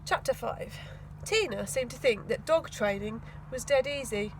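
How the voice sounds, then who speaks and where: A woman reading a children's story aloud in an expressive, emphatic storytelling manner. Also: on the high side, a little slow, slight background noise, rough recording. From England. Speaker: school teaching assistant reading aloud to children. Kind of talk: storybook read-aloud narration.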